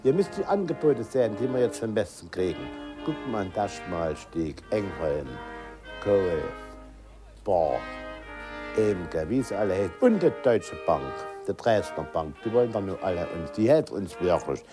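A man's voice: fairly low pitch, expressive, normal speed. A man from East Germany speaking spontaneously and emphatically, repeating phrases for stress. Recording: music playing behind.

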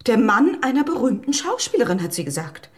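A woman's voice: on the high side, very animated, brisk. An actress in a German radio drama playing a hostile, indignant woman who answers in a curt, snappish, haughty way. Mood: snappish, contemptuous. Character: hostile, indignant, sharp-tongued woman under pressure.

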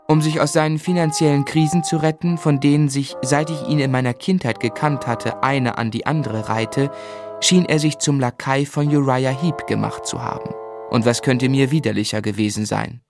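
Man acting a thoughtful gentleman telling his life story, radio drama, medium pitch, dry sound with faint music. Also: lightly acted. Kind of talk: scripted narration in a radio play.

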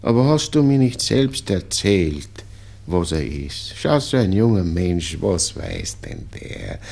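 An anxious old Viennese father in a 1960s radio play. The voice is low and gravelly, swinging widely in pitch. He pleads slowly and tenderly, stumbling and repeating himself. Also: wildly swooping pitch, darkish tone, exaggerated voice. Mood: pleading, consoling. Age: older. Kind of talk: 1960s German-language radio drama acting.

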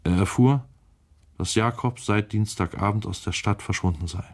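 A man's voice: fairly low pitch, normal speed, a little mellow. A man reading a novel aloud as scripted literary narration. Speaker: audiobook reader.

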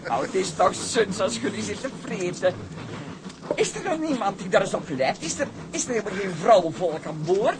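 A woman's voice: medium pitch, big pitch swings, moderate pace, close to an everyday voice. An actress playing a bossy but generous, pious country woman, folksy and scolding, in a period drama. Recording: noisy drama soundtrack with loud ambience.

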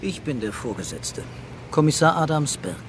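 An actor playing a blunt, exasperated French police commissioner in a German radio crime drama, speaking colloquially. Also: male voice, on the high side, very animated, normal speed, very noisy. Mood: terse, authoritative.

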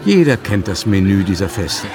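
An actor as the urbane narrator of a radio play from an old novel, measured, literary and wry. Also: male voice, deep voice, very animated. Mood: wry, atmospheric. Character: urbane storyteller narrating a 19th-century novel. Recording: music playing under the voice.

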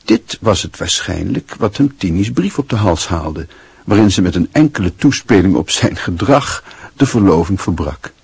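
An actor narrating a literary radio drama in a measured, reflective, scripted style. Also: male voice, low-pitched, expressive, vocal fry, darkish tone, slightly rough, dry recording, full character voice. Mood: wry, reflective.

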